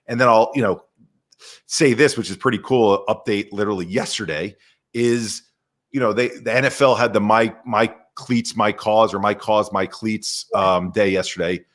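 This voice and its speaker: A man talking as a podcast guest in an animated, energetic way over a video call. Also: fairly low pitch, normal speed. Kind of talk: casual conversation.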